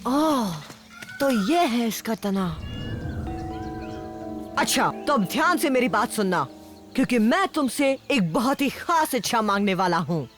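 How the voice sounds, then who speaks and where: A woman playing an eager, naive and plucky young boy in a cartoon dub, earnest and excitable. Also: a little high, a little slow, long pauses, over music. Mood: eager, hopeful. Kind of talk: animated cartoon dubbing.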